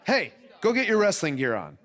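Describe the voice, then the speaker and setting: Bossy wrestling manager in a game, kinda high voice, short commands. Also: male voice, huge pitch swings, normal speed, a bit bright, a faint crowd, exaggerated voice. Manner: commanding, curt. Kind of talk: video game cutscene acting.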